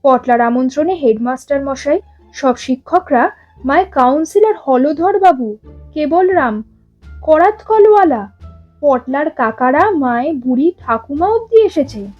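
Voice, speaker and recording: Casting: female storyteller, high voice, leisurely expressive narration over soft music. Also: a little slow, dull audio.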